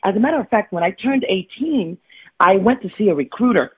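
A woman's voice: medium pitch, expressive, brisk, breathy edge. A woman in her forties from Florida, earnestly telling her life story as an interview guest. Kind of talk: candid personal storytelling. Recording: tinny phone-like line.

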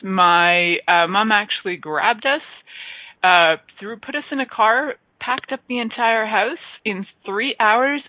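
A woman's voice: medium pitch, no echo. A chatty woman from Canada tells stories and laughs often. She comes through a tinny, phone-like line with a dry, close sound. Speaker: guest. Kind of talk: casual interview chat.

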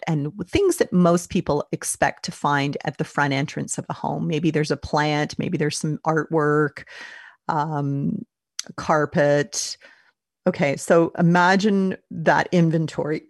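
A woman speaking as a life coach, leading a calm, reflective self-help talk and often repeating her words. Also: deep voice, no room sound, clean studio sound.

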